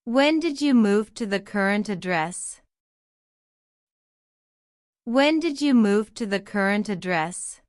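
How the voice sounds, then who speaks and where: Woman, practice-drill reader, repeats every line, unhurried, crisp and dry. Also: mid-range voice, expressive, dry recording. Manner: reads each line twice, slow and deliberate. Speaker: citizenship test practice narrator. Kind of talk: slow repeated question-and-answer drill.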